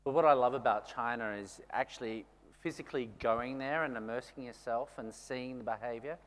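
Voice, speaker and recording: A man moderating a conference panel, enthusiastic and quick to affirm the panelists. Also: medium pitch. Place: large conference stage. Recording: long hall reverb.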